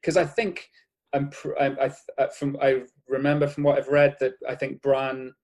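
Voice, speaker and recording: A man from Wales with a light Cardiff accent, chatting as a guest in a relaxed interview. He talks in a middle-pitched voice, thinking aloud with many small restarts and fillers. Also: normal speed. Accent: Welsh, Cardiff. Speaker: guest artist. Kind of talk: casual interview chat.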